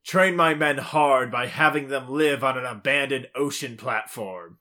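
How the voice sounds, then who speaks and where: A man's voice: medium pitch, measured pace, pushed and bright. A man co-hosting a podcast in casual, playful banter, sometimes reading out quiz questions in a teasing way. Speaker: podcast co-host.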